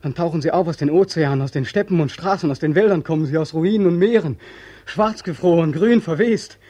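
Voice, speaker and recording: Brief: male actor as haunted war returnee, 1940s radio drama, muted raspy tone, pleading, obsessive. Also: a little high, fairly quick, warm tone, no echo, natural voice. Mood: fevered, declamatory. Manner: weary, pleading, obsessive, repeating himself. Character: weary, haunted ex-soldier back from war, insistent.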